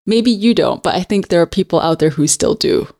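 A speaker from Hong Kong talks in a mid-pitched voice during a casual conversation. They jump in readily and question points directly. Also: lively intonation, a little mellow. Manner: engaged, quick to interject and push back.

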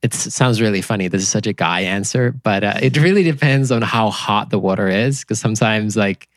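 A very low-pitched man with a Finnish accent, talking loosely and easily as a podcast guest. His speech wanders with small restarts and fillers. The sound is dry and close, with no room around it. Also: a little fast, no room sound. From Finland. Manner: relaxed, rambling with fillers and restarts. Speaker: company founder, podcast guest. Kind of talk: casual interview chat.

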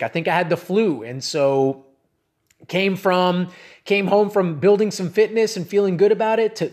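A man's voice: high-pitched, a little mellow. A man in his late thirties hosting a solo podcast, talking casually and rambling with many fillers and restarts. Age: 30s. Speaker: podcast host and cycling coach.

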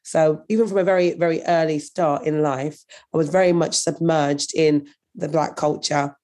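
A woman from Birmingham with a croaky voice from a cold, telling her story earnestly as an interview guest. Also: sits low, steady tone, normal speed, darkish tone. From Birmingham, England. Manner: earnest, thoughtful, with some repeated words. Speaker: guest, anti-racism consultant.

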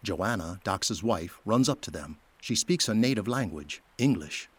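A man's voice: fairly low pitch, lively intonation, measured pace, a bit bright, slight background noise. A man reading scripted narration for an audio drama.

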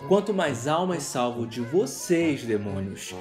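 A man's voice: mid-range voice, very animated, measured pace. Audio drama role: dignified, earnest angel played by a man, formal delivery, very varied intonation, music behind. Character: earnest, dignified male angel, devoted to saving humans.

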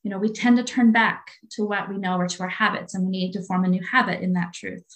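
A woman's voice: mid-range voice, steady tone, fast. A woman in her late forties talking earnestly and from the heart as a guest in a faith-based conversation, often repeating her phrases. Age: 40s.

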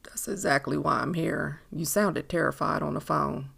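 A woman voicing a confident, tender man with a low baritone, courting his sweetheart in an audiobook romance. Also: moderate pace, creaky, fairly bright tone, husky, full character voice. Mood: reassuring, concerned.

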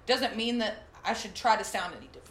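A young woman with a low, slightly raspy voice, talking loosely as a guest on a podcast. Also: quick pace, a bit bright, a little hiss or hum. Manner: chatty, loose, lots of fillers. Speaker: guest singer and artist. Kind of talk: casual podcast chat. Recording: roomy echo.

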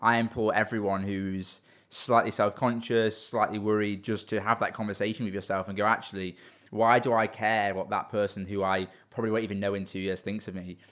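A man's voice: fairly low pitch, little pitch movement, a little fast, a bit bright. A young man in his twenties, a business owner talking earnestly in a casual interview, often restarting and repeating words.

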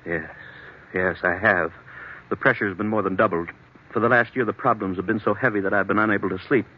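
A man's voice: on the low side, fairly even intonation, brisk, husky. An actor playing an anxious, troubled businessman in a 1940s radio drama, speaking hesitantly and earnestly on a dull old recording. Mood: weary, earnest. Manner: hesitant, earnest, agitated when challenged. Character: troubled, anxious businessman suffering blackouts, confiding in a doctor.

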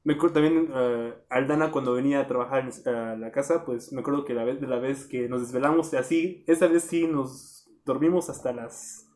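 A man's voice: mid-range voice, fairly quick, hardly any pauses. A young man, an architecture student reflecting casually on teamwork. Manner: relaxed, reflective, lots of fillers. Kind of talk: casual group chat.

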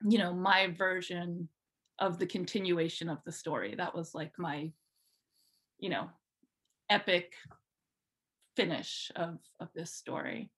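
A woman's voice: fairly low pitch, measured pace, long pauses, a bit bright, husky. A woman chatting informally as an interview guest, telling her own story with lots of "like" along the way. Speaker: podcast guest, fan fiction writer. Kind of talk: casual chat, telling personal story.

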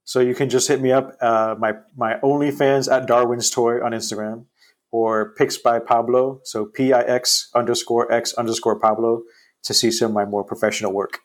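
A man's voice: mid-range voice, flat delivery, normal speed. A man in his early forties talking casually as a podcast guest, explaining his thinking and checking that listeners follow. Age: early 40s. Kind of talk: casual group chat.

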